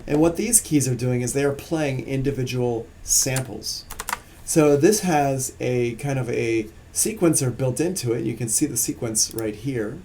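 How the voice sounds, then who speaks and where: A man teaching music production in a casual, relaxed live-streamed software tutorial, explaining as he works on screen. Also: mid-range voice, measured pace.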